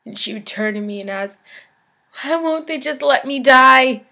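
A woman performing a dramatic monologue as a despairing young woman, speaking in a halting, emotional confession. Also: medium pitch, completely flat delivery, creaky. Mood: subdued sadness. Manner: halting, emotional, swinging from hushed to anguished. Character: despairing suicidal young woman baring her pain in confession.

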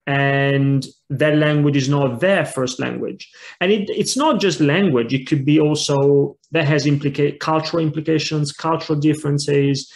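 A man's voice: on the high side, darkish tone. Italian-accented man hosting on a video call. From Italy. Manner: rambling, repeats words when starting. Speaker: podcast host. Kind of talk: interview questions. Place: home room, video call. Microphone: wired earphone mic on video call.

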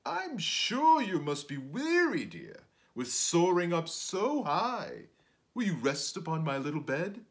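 A man's voice: on the high side, wildly swooping pitch, slow pace, fairly bright tone, voice pushed up, full character voice. A man voicing a cunning male spider villain, courtly and coaxing, in a storybook read-aloud.

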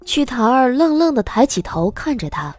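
A woman giving expressive scripted narration as an audiobook narrator. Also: high-pitched, moderate pace, no room sound, faint music behind.

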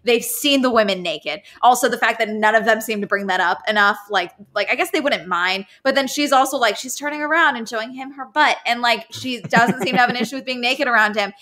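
A woman's voice: a little high, brisk, few pauses, bright, forceful tone. A young woman guest on a podcast, chatting casually and with opinions about a book, with wry asides. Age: young adult. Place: indoors, living room with couch.

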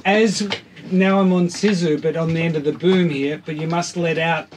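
A man in his thirties with a slightly high voice talks casually, walking listeners through a plan step by step. He is picked up by a camera outdoors on a boat, with clear background noise and clicks. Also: steady tone, moderate pace. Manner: hands-on step-by-step explaining. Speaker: wakeboarder planning a stunt. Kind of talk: casual chat, explaining a plan. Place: open deck of an anchored catamaran, around a table. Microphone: camera a few feet away.

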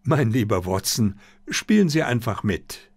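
A confident male detective played by a male narrator holds forth in an audiobook. His delivery is deliberate, courteous and showmanlike, with lively swings in pitch. The sound is dry and close. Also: vocal fry, no room sound, exaggerated voice. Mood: assured, playful. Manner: deliberate, emphatic, polite, showmanlike. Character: confident, theatrical male detective addressing suspects.

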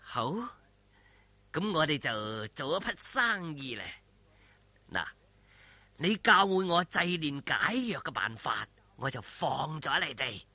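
A man's voice: on the high side, sing-song, takes it slowly, pauses often, bright, forceful tone, no echo, exaggerated voice. A man voicing a treacherous older martial-arts master in a storybook telling, sneering and drawing out his taunts. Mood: scheming. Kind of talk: Cantonese audio storytelling.

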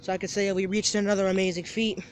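A high-voiced seventeen-year-old young man talks into a phone held at arm's length while standing outdoors. He rambles through a casual daily vlog, repeating phrases and restarting his sentences. Faint outdoor noise sits under his voice. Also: level tone, fast. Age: teens. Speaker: vlogger. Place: outdoors by a parking lot and lawn.

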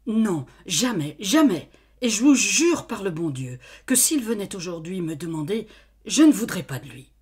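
A woman voicing a frightened young farm servant girl who pleads and denies in a high, tearful voice. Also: very animated, moderate pace, crowd noise, natural voice. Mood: firm.

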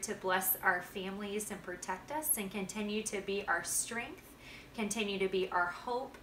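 A woman in her thirties speaks as a storytime reader, with a bright voice. She is in a live-sounding living room, recorded a few feet from the camera with faint noise. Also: medium pitch. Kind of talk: prayer and storybook reading aloud. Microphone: camera a few feet away. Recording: roomy home recording, faint background noise.

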